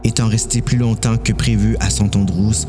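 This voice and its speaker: A man's voice: fairly low pitch, flat delivery, moderate pace. A man with a Québécois accent giving a dramatic scripted narration of a story. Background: music.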